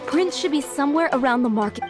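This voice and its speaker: Casting: easygoing female villager, fond and helpful, somewhat high voice, game music behind. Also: expressive, fast. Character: friendly townswoman, fond cat owner asking for help. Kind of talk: video game voice acting.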